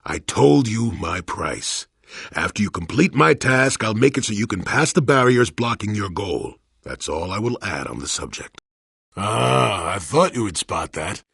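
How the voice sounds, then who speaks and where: Casting: crotchety old space-fantasy hermit for a video game, low and raspy, wry, swinging theatrically in tone. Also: male voice, big pitch swings, unhurried, a bit bright, dry recording, studio quality, heavily acted. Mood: firm, bargaining, then wry, scolding. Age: older. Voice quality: gravelly, raspy. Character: cantankerous wry old male hermit, a Force-sensitive former Jedi. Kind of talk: video game character voice acting.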